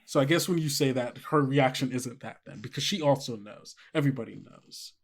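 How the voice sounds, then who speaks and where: A man's voice: medium pitch, brisk. A man running a tabletop game, improvising narration and thinking aloud in a conversational way. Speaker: game master.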